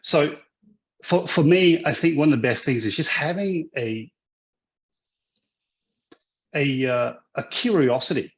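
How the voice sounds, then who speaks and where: A man's voice: on the high side, lively intonation, a little slow, long pauses, muffled recording. A man from Hong Kong who grew up in Australia, a guest in a casual interview, who talks with many restarts and fillers. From Hong Kong, raised in Australia.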